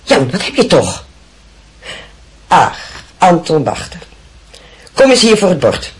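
A stern schoolteacher. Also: female voice, fairly low pitch, sing-song, slow pace. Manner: firm, scolding classroom tone. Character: strict female classroom teacher, stern but patient. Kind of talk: radio drama acting.

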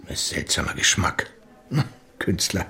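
Dry older police detective. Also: male voice, very deep voice, a little slow, gravelly, sharp tone, breathy edge, full character voice. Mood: dry, disdainful. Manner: dry, old-fashioned. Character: older male police detective nearing retirement, courteous, sardonic. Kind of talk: German radio crime drama acting.

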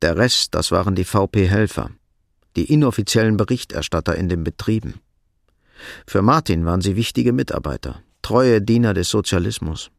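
A man narrating a radio drama in a sober, measured, literary storytelling style. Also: deep voice, expressive, creaky, no echo, studio quality.

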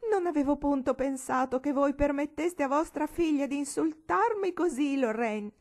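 A woman voicing a hysterical elderly lady in an audiobook, wailing and shrill, weeping as she cries out. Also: moderate pace, natural voice. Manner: wailing, high and shrill, drawn out.

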